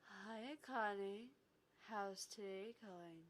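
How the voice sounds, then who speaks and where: A woman voicing a laid-back, flirty female analyst in a visual novel, with a slow, teasing drawl. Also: medium pitch, fairly even intonation. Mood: languid, flirty.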